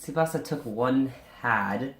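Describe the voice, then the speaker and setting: A man's voice: medium pitch, takes it slowly, a bit bright. A man co-hosting an informal story reading, stumbling here and there as he reads and chats. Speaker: co-host reading fan fiction aloud. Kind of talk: informal live story reading and casual chat. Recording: echoey room.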